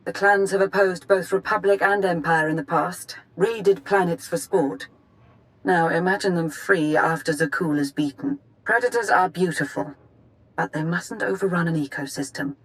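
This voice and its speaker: A calculating female adviser, played by an actress in a video game. She speaks in a lowish voice with formal, measured, articulate phrasing, weighing each point. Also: close to an everyday voice, rough recording. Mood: cautious, calculating.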